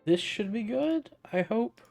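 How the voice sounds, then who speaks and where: Male gaming creator, slightly high voice, rambling casual play-along commentary, thinking aloud. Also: expressive, measured pace, vocal fry, a little mellow, faint music behind. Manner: rambling, self-interrupting.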